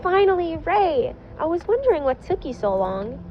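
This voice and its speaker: A woman voicing a proud, warm, easily amazed girl in a story reading. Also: extremely high pitch, sing-song, normal speed, highs cut off, slight background noise, voice pushed up. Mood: chatty, pleased. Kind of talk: storybook-style character voice in fan fiction reading.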